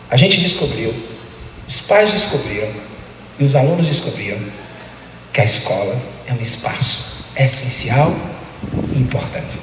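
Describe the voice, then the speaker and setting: Man lecturing in a booming echoey hall. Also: a bit bright, slight background noise. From Salvador, Bahia, Brazil. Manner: rhetorical, asks the audience questions, tags phrases with "né". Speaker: guest lecturer speaking to teachers. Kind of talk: motivational talk to an audience. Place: large hall with an audience. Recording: very echoey hall, voice heard from a distance.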